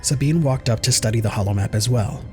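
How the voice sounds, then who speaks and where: A man's voice: medium pitch, quick pace, over music. A man reading scripted dramatic narration as the narrator of an audio story.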